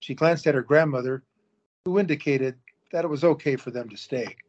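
Guy narrating audio drama, steady, bit dull lo-fi sound. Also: fairly high pitch, brisk, a little hiss or hum.

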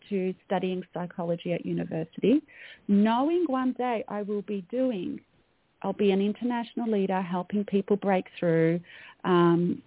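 A woman's voice: medium pitch, dry recording. Australian female coach, podcast guest, dry narrow-band audio, earnest tone. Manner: earnest, reflective, frequent "um" fillers. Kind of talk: podcast interview conversation. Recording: tinny phone-like line, dry.

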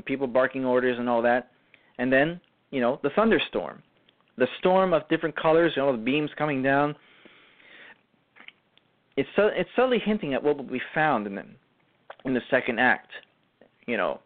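A man hosting a radio show, speaking in a halting way that often restarts and repeats phrases as he gives commentary. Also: mid-range voice, dry recording. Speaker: radio show host and writer-performer. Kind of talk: show commentary, semi-prepared talk. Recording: muffled lo-fi audio.

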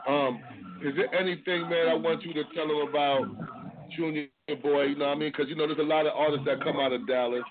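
A man's voice: a little high, fairly even intonation, fast, crowd noise. Slangy male radio host, tinny line. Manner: easygoing, slangy, repeats "no doubt". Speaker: radio interviewer. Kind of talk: casual hip-hop radio interview. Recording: tinny phone-line sound.

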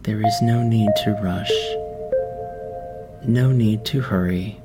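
A man with a fairly low voice leads a guided meditation over clear background music. He speaks very slowly and gently, leaving long pauses between soothing instructions.

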